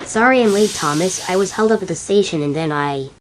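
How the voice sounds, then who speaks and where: A woman's voice: fairly low pitch, fairly quick, background noise, close to an everyday voice. A woman voicing an apologetic young male tank engine in a cartoon dub. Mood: apologetic, concerned. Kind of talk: animated character dub.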